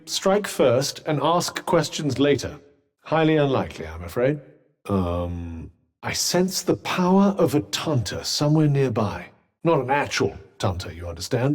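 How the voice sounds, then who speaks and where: Haughty British talking cuff, lively intonation. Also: male voice, mid-range voice. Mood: wry, measured. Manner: dry, sardonic, articulate, arch understatement. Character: haughty, sardonic sentient magical cuff, male companion. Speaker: voice actor. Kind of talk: video game companion dialogue.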